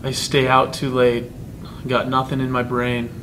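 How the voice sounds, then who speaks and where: A man giving a deadpan reading of lyrics in an office. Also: measured pace, over music. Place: indoor school office. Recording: rumbly background noise.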